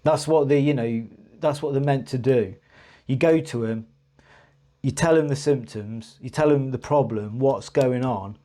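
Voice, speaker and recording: Guy vlogging his own story, rambly and hesitant, lots of restarts, mellow warm tone. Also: mid-range voice, measured pace. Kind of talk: personal storytelling to camera.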